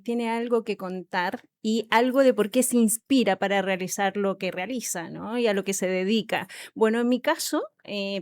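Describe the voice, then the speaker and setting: A woman from southern Chile with a Chilean accent speaks in a slightly high voice, recorded dry. She answers reflectively as an interview guest, explaining thoughtfully and checking that she is followed. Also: darkish tone, no room sound. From small rural town in southern Chile. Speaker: interview guest, psychologist and sexologist.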